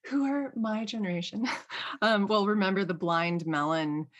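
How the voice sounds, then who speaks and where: A woman's voice: medium pitch, measured pace. A woman hosting a casual podcast interview in an effusive, encouraging, conversational way. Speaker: podcast host.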